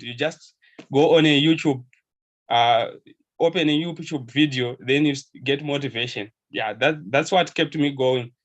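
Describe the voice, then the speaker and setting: A man's voice: a little high, lo-fi sound. Earnest young man from Zimbabwe. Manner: earnest, hesitant, laughs softly. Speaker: webinar attendee, pharmacy intern. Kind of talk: casual video call conversation. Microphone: video call.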